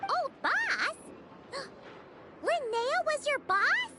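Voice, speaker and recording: Tiny excitable anime game companion: extremely high, childlike, hugely animated delivery. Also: female voice, extremely slow, heavily acted. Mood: surprised, curious. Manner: speaks of self in third person.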